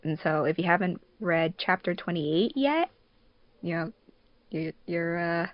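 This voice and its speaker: A woman with a slightly low voice hosts a casual podcast conversation. She feels her way through thoughts in a halting, conversational way. The sound is dry and somewhat dull, like lo-fi call audio. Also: animated, no echo. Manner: halting, thinks aloud with frequent "like". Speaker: podcast host and moderator. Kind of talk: casual podcast chat among a fandub crew.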